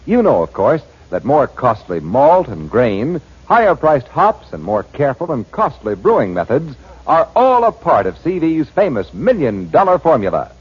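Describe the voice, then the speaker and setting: A man's voice: medium pitch, wildly swooping pitch, moderate pace, breathy edge. A man announcing in 1940s radio style, reading sponsor copy and story recaps as scripted narration. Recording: dull 1940s radio recording with music.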